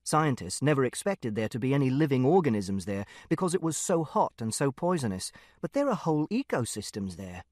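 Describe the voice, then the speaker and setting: A man's voice: mid-range voice, sing-song, fairly quick, few pauses, darkish tone, dry recording, clean studio sound, natural voice. A man voicing an earnest, literal-minded teenage boy who narrates an audiobook in a precise, matter-of-fact way. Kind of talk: first-person audiobook narration.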